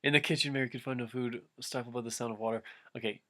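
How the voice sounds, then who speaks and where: A man with a bright, forceful voice reads a story aloud in a mock-dramatic way. He keeps breaking off into casual, amused asides and stumbles back into the narration. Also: mid-range voice, fairly even intonation, quick pace. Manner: breaks into giggles, mock-dramatic, self-interrupting. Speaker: reader of a fan fiction dramatic reading.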